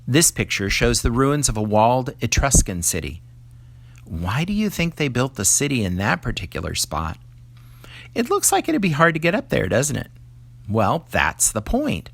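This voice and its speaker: A man's voice: mid-range voice, creaky, a bit bright. A man reading a scripted educational lesson aloud to young students, explaining things in the manner of a teacher. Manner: explanatory, poses questions to the listener. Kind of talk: scripted educational read-aloud addressed to children.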